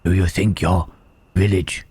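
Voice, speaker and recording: Man acting wary gentle creature, very slow, low-pitched, hushed, halting, old-fashioned wording, intimate ASMR roleplay. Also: flat delivery, breathy edge, exaggerated voice. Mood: thoughtful, grave. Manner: halting, formal archaic phrasing, questioning "huh".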